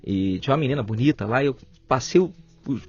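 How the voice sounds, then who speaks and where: A man in his forties from Espírito Santo, Brazil, a physician telling stories in a relaxed, casual interview. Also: medium pitch, quick pace, slightly rough, highs cut off, faint music behind. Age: 40s. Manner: relaxed, storytelling, uses "cara" and "né". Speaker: physician and writer, podcast guest.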